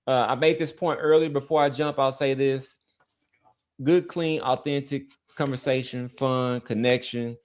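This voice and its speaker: A man hosting a sports podcast in a casual, conversational way, rambling a little and pausing to search for words. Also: medium pitch, fairly even intonation. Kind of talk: casual sports chat and community sign-off.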